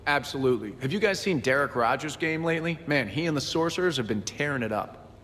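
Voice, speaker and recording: A man's voice: a little high, animated, quick pace, fairly bright tone. Friendly teasing crewman, roomy reverb. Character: friendly, teasing male crewman and keen sports fan. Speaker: video game voice actor. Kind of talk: casual game dialogue acting. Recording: reverberant room, faint ambient noise.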